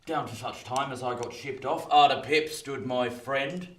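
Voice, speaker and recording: An actor playing a rough old ex-convict in a radio play, with a working-class English accent, telling his past in an emphatic, colloquial way. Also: medium pitch, normal speed, lightly acted. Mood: weary candour. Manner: colloquial, emphatic storytelling that swings into indignation. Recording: roomy, distant from the mic.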